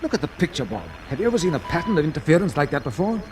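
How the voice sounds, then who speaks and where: A man playing a brisk colonel in charge of launch control, clipped and authoritative, 1950s radio acting. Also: on the high side, big pitch swings. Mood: concerned. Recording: noisy old 1950s radio recording.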